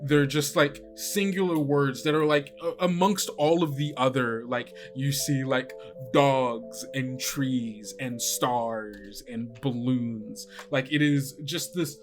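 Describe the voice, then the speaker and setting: A man improvising descriptive narration as game master of a tabletop roleplaying game, in a halting way. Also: medium pitch, fairly even intonation, faint music behind.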